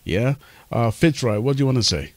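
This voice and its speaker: A man moderating a radio discussion in a measured, diplomatic way, repeating words as he goes. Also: medium pitch, lively intonation, dry recording. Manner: measured, hesitant repetitions, diplomatic. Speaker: talk show host.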